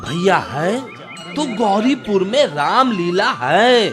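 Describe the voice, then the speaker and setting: Young village fella in a radio play, slow but swoopy delivery, chatty n respectful, music under it. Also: male voice, high-pitched, expressive. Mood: eager, respectful. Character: chatty, admiring young village man talking to his elder. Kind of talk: Hindi radio drama acting.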